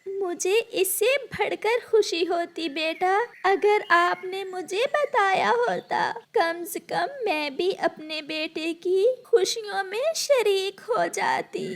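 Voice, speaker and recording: A woman's voice: extremely high pitch, slow pace, hardly any pauses, pitched above the performer's own voice, full character voice. A woman voicing a tender, self-sacrificing mother bird devoted to her son in a cartoon story. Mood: hurt but gentle. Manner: tender, forgiving, blessing.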